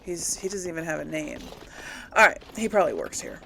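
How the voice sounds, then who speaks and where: A woman's voice: sits low, expressive, brisk, gravelly, pushed and bright, slight background noise, poor audio. A woman giving casual, unscripted game commentary to viewers, rambling a little as she thinks aloud. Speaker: let's play host.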